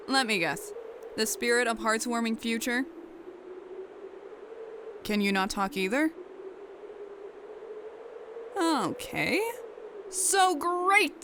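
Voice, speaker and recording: A woman's voice: high-pitched, noisy background. A woman voicing a sarcastic, blunt, rebellious young pegasus in a dramatized story reading. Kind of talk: dramatized fan fiction reading.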